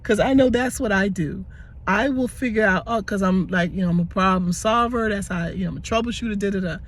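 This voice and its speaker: A man in his sixties, hoarse and raspy from tonsillitis, rambling earnestly through a daily gratitude vlog. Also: high-pitched, brisk, a bit bright. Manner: rambling and earnest, many fillers and restarts. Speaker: gratitude vlogger. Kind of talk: casual spoken faith vlog.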